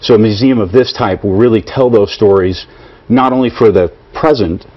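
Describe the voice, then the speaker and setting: A man's voice: on the low side, moderate pace, slightly rough. A man who is a military officer, speaking earnestly as a guest on a TV interview. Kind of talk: TV studio interview. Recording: dull broadcast audio.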